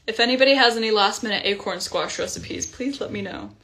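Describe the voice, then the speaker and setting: A young woman from Iceland, a singer-songwriter chatting casually on a livestream from home, relaxed with frequent ums. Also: medium pitch, a little fast. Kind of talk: casual livestream chat between songs. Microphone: phone livestream. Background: guitar playing.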